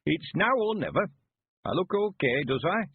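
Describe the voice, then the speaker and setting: A man's voice: big pitch swings, husky, heavily acted. Man voicing a frail old-timer. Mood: eager. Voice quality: high quavering old voice, slow drawl. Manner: chuckling, rustic, stubborn. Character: frail cheerful 91-year-old man, courting. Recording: phone-like lo-fi sound.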